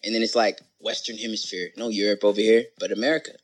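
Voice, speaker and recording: A man as a podcast guest talking in casual conversation, animated and rambling, with lots of "like" and "you know". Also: on the low side, fast. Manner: animated, rambling, says "like" a lot.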